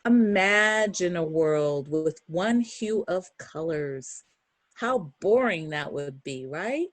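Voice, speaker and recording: A woman reads a children's picture book aloud in a lively, swooping voice. She takes her time and breaks off for encouraging asides and questions to young listeners. The sound is close and dry. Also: fairly low pitch, slow, no echo. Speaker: children's storybook reader.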